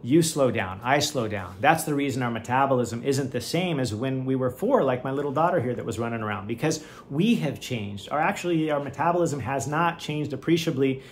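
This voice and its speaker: A man's voice: mid-range voice, expressive, fairly quick, hardly any pauses, a little hiss or hum. A middle-aged man, a physician hosting a podcast, explains health in a chatty, enthusiastic way, often checking in with "right?" while moving around at a standing desk. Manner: moving around and lifting weights while talking. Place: home office at a standing desk.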